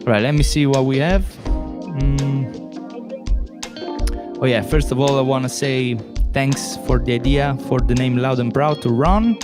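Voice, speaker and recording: A man's voice: mid-range voice, measured pace, music in the background. A man from Rome in his late twenties with an Italian accent, hosting a live podcast Q&A, chatty and hunting for words with many fillers. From Italy, Rome. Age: late 20s. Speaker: podcast host and musician. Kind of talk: live solo Q&A stream.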